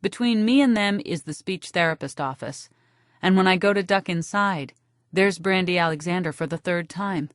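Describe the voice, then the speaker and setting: A woman narrating a first-person novel for an audiobook with a wry, deadpan delivery. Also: fairly low pitch, dry recording, studio quality.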